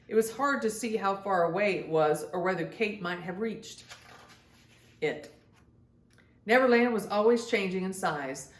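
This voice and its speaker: A woman tells a story for children in a roomy, echoing space. Her pitch sits in the middle for a woman. She reads expressively, as a storytime host speaking to young kids. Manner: addresses young children directly, acts out dialogue.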